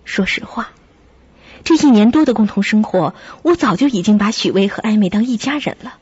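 A woman playing a sensible, caring young flatmate who narrates and acts in a radio drama, with a husky, breathy voice. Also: mid-range voice, fairly quick, dry recording, lo-fi sound. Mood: uneasy narration.

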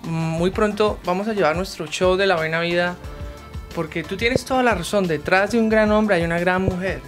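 A young man hosting an interview in a living room, asking slow questions full of restarts. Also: high voice, fairly bright tone, faint music behind. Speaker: host.

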